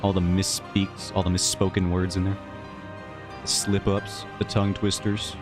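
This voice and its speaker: A man's voice: low voice, flat delivery, moderate pace. A man streaming while he draws his webcomic, who rambles in a casual, self-mocking and jokey way. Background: faint background music.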